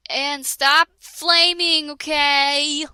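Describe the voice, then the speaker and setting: Woman reading a parody story aloud: bright, slow, giggly, dry close sound. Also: high voice, steady tone, no echo. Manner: giggly, keeps breaking into laughter and sarcastic asides. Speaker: reader of a parody fan fiction. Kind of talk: comedic dramatic reading with a friend.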